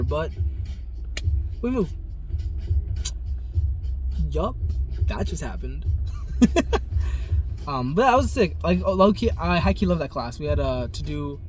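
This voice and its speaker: Casual young man rambling to camera. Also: a little high. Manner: rambling, loose and profane, many likes and ums. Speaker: vlogger. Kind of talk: casual vlog chat. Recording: noisy background ambience.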